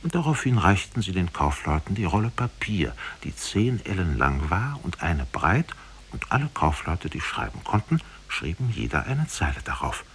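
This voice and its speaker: A man's voice: low voice, very animated, normal speed, slight background noise. A man narrating a fairy tale in solemn, dramatic 1950s radio style with formal diction.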